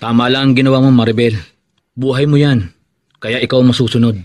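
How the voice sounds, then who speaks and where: Actor as a supportive Filipino big brother, close and dry. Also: male voice, mid-range voice, fairly even intonation, fairly quick, darkish tone, no echo, close to an everyday voice. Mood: reassuring. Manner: reassuring. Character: supportive, reasonable older brother. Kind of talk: Filipino radio drama acting.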